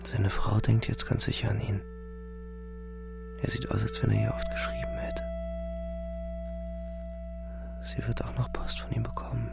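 An actor playing an anguished young soldier in a radio play, delivering a grave, halting, intense first-person monologue. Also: male voice, lowish voice, level tone, creaky, in a whisper. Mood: remorseful. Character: anguished young male soldier recounting a killing in a shell hole. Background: music.

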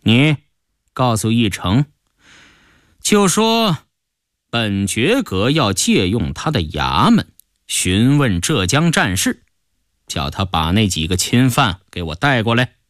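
A haughty high official of the imperial Chinese court, played by a male storyteller, suave and teasing. He takes his time over each phrase with lordly deliberation, the pitch swinging widely, in a very dry recording. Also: big pitch swings, a bit bright, no room sound. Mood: commanding. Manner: slow, deliberate, lordly drawl.

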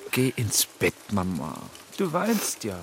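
An actor playing a gentle but urgent adult son in a radio drama, with a husky, breathy voice. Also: male voice, lowish voice, wildly swooping pitch, slow pace, background noise, exaggerated voice. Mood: tender.

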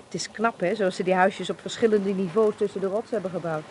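An actress playing a sensible, sceptical but affectionate holidaymaker in a Dutch radio drama, speaking conversationally. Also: female voice, medium pitch, fairly even intonation, fairly quick, darkish tone, slight background noise, lightly acted. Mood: curious.

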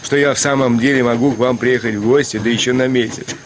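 A man acting a confident, ironic middle-aged gentleman in a radio drama, with a theatrical, teasing delivery. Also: medium pitch, fairly even intonation, fast talker, a little hiss or hum, natural voice. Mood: mocking.

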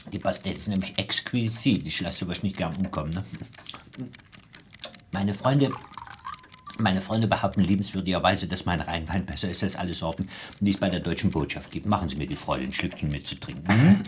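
A man's voice: sits low, a little fast, a little hiss or hum, natural voice. An actor in a German radio play playing a courteous, boastful anarchist bomb maker who savours his words with self-satisfaction. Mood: jovial, hospitable.

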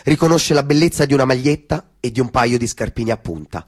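A man's voice: mid-range voice, fast talker, a little mellow, breathy edge. A man delivering scripted theatrical narration.

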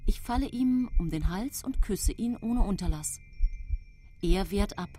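A woman's voice: medium pitch, animated, unhurried, warm tone, slight background noise, natural voice. An actress playing a composed, calculating woman in her thirties who narrates her own story in a radio drama, controlled and matter-of-fact. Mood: composed, confiding. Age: 30s.

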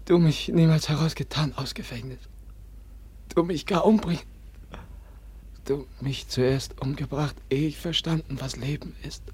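An actor playing a frightened, gravely wounded, childlike young man in a German radio play, gasping out broken fragments. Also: on the high side, unhurried, darkish tone, close to an everyday voice. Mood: terrified, pleading. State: weak, delirious, gasping.

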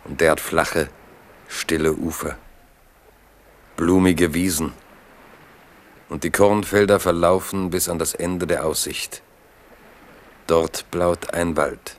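A man narrating a historical chronicle in a literary, measured and vivid radio drama style. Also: sits low, fairly even intonation. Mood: narrative. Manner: measured, vivid present-tense telling.

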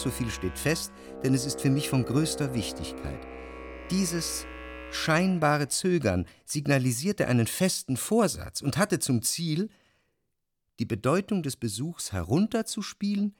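An actor playing a jealous, introspective lover who narrates in the first person in a measured, deliberate way, in a literary radio drama. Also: male voice, a little high, no echo, exaggerated voice. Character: jealous, brooding male lover recounting his obsessions. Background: music.

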